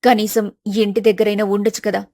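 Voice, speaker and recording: A woman's voice: mid-range voice, flat delivery, fairly quick, dark tone, no echo, pitched below the performer's own voice, lightly acted. A woman voicing a middle-aged mother in a story, protective and loving, with an emphatic, commanding way of talking. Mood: tender, pleading.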